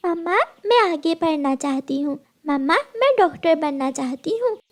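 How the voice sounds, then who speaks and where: Woman playing a very high-pitched young daughter bird. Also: animated, normal speed. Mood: pleading. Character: earnest young daughter bird, eager student. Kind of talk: Hindi moral-story cartoon voice acting.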